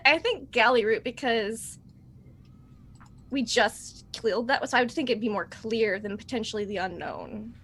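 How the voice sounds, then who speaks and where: A woman player with a high, husky voice and very lively intonation. She chats playfully and casually around the game table. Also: a little slow. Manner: chatty, playful. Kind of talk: casual, jokey table talk.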